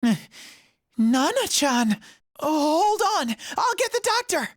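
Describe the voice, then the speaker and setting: A woman voicing a childlike, despondent male mascot creature in a video game, plaintive, halting and earnest. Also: high-pitched, very animated, dry recording, exaggerated voice. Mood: earnest, dawning hope.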